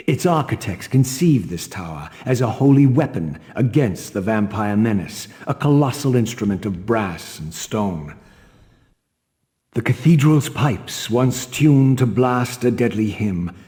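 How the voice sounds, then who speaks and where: An actor playing a vengeful undead wraith who speaks gravely and with scorn, in long reverb over game music. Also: male voice, on the low side, dark tone.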